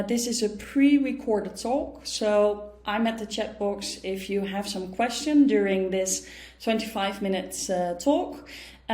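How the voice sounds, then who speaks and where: A woman's voice: mid-range voice, darkish tone. Dutch lady teacher doing a recorded talk, lots of ums, kinda echoey room. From Netherlands. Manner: measured, with frequent ums and uhs. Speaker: history teacher giving a talk. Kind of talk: pre-recorded conference talk.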